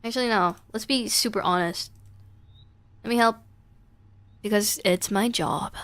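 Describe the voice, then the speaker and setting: A woman's voice: mid-range voice, measured pace, fairly bright tone. A woman playing a blunt, teasing young gas station clerk in a horror game, with a wry delivery.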